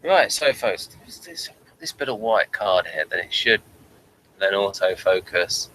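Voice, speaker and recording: A man's voice: low voice, animated, unhurried, pushed and bright, poor audio. A man hosting a casual TV review show, talking and reading out material. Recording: faint background noise.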